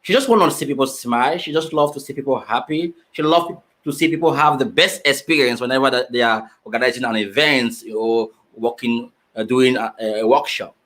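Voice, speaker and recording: A man's voice: mid-range voice, a little fast, few pauses, fairly bright tone. Chatty male show host. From Finland. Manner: friendly, repeats words, restarts often. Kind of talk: casual online interview.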